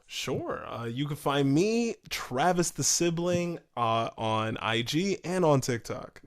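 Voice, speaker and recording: A man's voice: on the high side, expressive, slow pace. A man chatting casually as a podcast co-host, thinking aloud in a tentative way.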